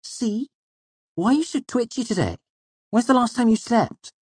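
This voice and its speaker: A man plays an exasperated young student in an audiobook tale. He is pressing and chiding, with a voice pushed high and very animated, heard close and dry. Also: moderate pace, slightly rough, no room sound, full character voice. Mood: worried, chiding. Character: fussing, exasperated young male student friend.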